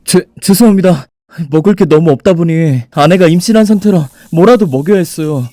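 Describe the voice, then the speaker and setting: A man playing a timid, desperate young man in a dark fairy-tale cartoon, anxious and stammering. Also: on the high side, a little fast, no echo, clean studio sound, natural voice. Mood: apologetic, fearful.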